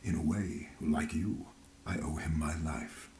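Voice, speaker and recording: A man playing a brooding, guarded character in a video game, speaking gravely and formally in dramatic dialogue. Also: extremely low pitch, a little slow, darkish tone, echoey room, a little hiss or hum, exaggerated voice. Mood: brooding, confiding. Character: brooding, guarded man haunted by his disgraced past.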